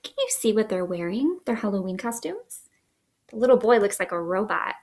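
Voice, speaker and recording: A woman in her thirties hosting a children's story time, reading aloud in an expressive, sing-song way at home. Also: mid-range voice, moderate pace. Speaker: children's librarian, storytime host. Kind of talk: picture book read-aloud for young children. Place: small living room indoors.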